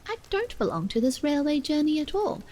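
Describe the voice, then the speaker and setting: Storybook girl, prim and earnest, high lively voice, read by a woman. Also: brisk, a little hiss or hum. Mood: impatient. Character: Alice, a polite, impatient young girl.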